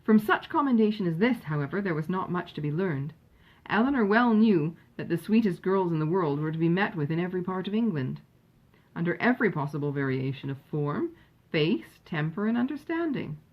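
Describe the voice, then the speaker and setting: Woman reading an old novel, really lively pitch, bit husky, slightly dull recording. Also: fairly quick. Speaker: audiobook narrator. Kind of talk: scripted literary narration, reading a classic novel.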